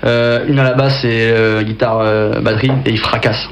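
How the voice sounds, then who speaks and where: A man's voice: mid-range voice, little pitch movement, moderate pace, highs cut off, noisy background. A man speaking as a band guest on a radio show, hesitant and full of fillers but enthusiastic. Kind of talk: casual radio interview.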